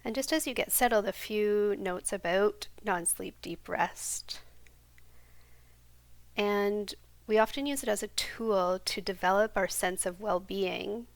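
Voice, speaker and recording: Woman, meditation guide: calm, gentle, half-whispered delivery, close and dry. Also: medium pitch, creaky, no echo. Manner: slow, soft and soothing, sometimes half-whispered. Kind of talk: guided yoga nidra meditation.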